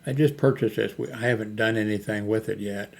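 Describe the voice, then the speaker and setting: An older man hosting a casual unscripted vlog, thinking aloud in a hesitant, halting way as he browses products. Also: fairly low pitch, steady tone, vocal fry. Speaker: YouTube host. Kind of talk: rambling, unscripted screen-browsing product chat. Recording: noticeable background noise, homemade recording.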